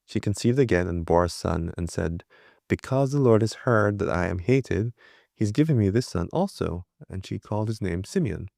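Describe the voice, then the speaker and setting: A man with a very low voice reads scripture aloud at an even pace. The sound is dry and close, with no room around it. He now and then trips on a word and starts it again. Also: normal speed, gravelly, no room sound. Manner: steady plain reading with small slips and restarts. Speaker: scripture reader. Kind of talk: reading the Bible aloud.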